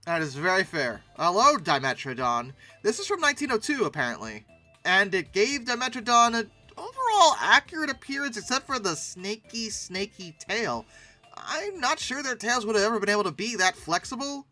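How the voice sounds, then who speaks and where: A man's voice: high voice, sing-song, moderate pace, bright, forceful tone, soft background music. A man hosting casual, humorous commentary, speaking emphatically and repeating words for effect. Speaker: host of a paleoart commentary channel.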